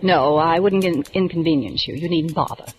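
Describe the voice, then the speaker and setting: An actress playing a prim, proper young librarian in a 1940s radio drama, speaking with precise, slightly indignant diction. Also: female voice, deep voice, fairly quick, close to an everyday voice. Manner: primly precise, a little indignant.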